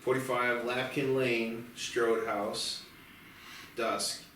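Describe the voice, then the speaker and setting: A man's voice: mid-range voice, level tone, extremely slow, a bit bright. A man reading out screenplay stage directions as narrator at a table read. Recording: echoey room, distant mic, faint noise.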